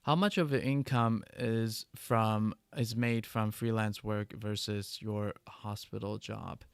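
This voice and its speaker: Gravelly-voiced man chatting on a livestream. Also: on the low side, fairly even intonation, dry recording. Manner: halting, many restarts and fillers. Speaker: livestream host. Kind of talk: casual livestream chat answering viewer questions.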